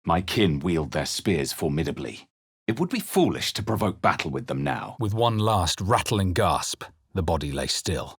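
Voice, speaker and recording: Grave fantasy game hero, low husky voice, solemn measured storytelling, dramatic. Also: male voice, very animated, no echo, clean studio sound, full character voice. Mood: firm, wary. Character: grave male fantasy adventurer narrating his journey and casting spells. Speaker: video game player-character voice.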